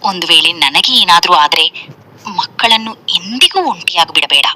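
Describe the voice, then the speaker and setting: A woman playing a loving, gentle village mother in a story, tender and earnest. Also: lowish voice, animated, moderate pace, background noise, lightly acted. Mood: pleading.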